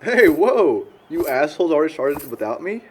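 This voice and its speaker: A man's voice: on the high side, sing-song, normal speed. Man acting a brash young guy, noisy recording. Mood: boisterous. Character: loud, brash young man arriving at a party. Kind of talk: scripted amateur audio drama dialogue.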